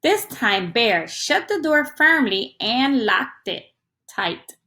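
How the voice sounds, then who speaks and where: A woman's voice: on the high side, sing-song, slow pace, pushed and bright. A woman reading a picture book aloud to young children in an interactive, teacherly way, often putting questions to the listener.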